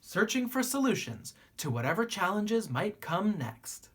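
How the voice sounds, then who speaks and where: A woman reading a children's book aloud like a teacher, with deliberate phrasing and expressive pauses. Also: mid-range voice, slightly rough.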